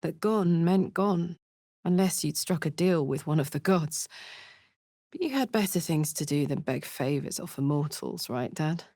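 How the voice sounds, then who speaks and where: A woman playing a brash, warm-hearted tough warrior in a video game, blunt and sweary with a casual swagger and earnest feeling. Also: sits low, expressive, measured pace, no echo, studio quality, heavily acted. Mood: frank, warm. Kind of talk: video game character voice lines.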